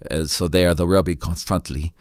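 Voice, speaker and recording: A man with a non-native English accent hosting an interview chat, halting and stammering, often repeating words. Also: sits low, steady tone, a little mellow, no echo, studio quality. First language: not English. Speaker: host.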